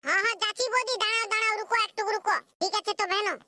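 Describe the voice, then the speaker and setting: A man voicing a cheeky lovesick village young man in a comedy cartoon, with a Purulia Bengali accent and a pitched-up voice. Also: very fast, pushed and bright, exaggerated voice. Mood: reassuring.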